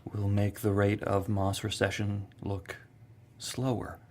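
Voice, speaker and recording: A man's voice: fairly low pitch, monotone, a little mellow. A man playing a nervous, stubborn scientist in an audio drama, stammering and flustered as he repeats himself. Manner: flustered, halting, repetitive. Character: nervous, defensive, stubborn male government-lab scientist.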